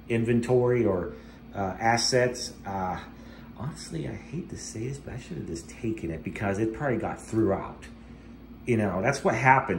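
A middle-aged man giving a casual, rambling demonstration as a vintage gear hobbyist. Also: mid-range voice. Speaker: vintage tape recorder collector demonstrating a machine. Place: home dining room. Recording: roomy echo, steady background noise.

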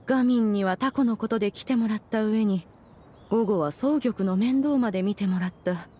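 A woman's voice: mid-range voice, normal speed, mellow, husky, lightly acted. A woman voicing a proud, regal ancient immortal in an anime game, a stern but caring master with stately, archaic diction.

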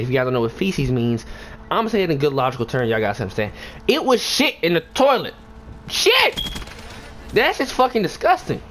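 A man's voice: a little high, fairly bright tone. A young man telling a casual, rambling story for a gaming video, swearing often in slangy speech and getting emphatic when indignant. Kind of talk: casual storytime over gameplay. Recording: clear background noise and ambience.

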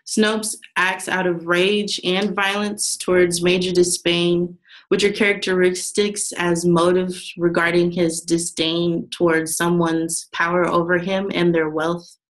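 A young woman reads a class presentation off her slides in a fairly low voice. Her delivery stays flat and even, with the odd restart. It sounds like a student working through prepared notes. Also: measured pace.

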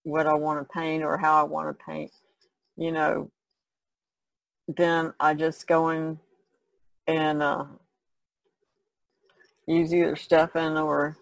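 A woman's voice: low voice, completely flat delivery, slow, creaky, pauses often, no echo, low-quality recording. A woman chatting casually as a podcast panelist, in a hesitant, musing way. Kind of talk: casual chat.

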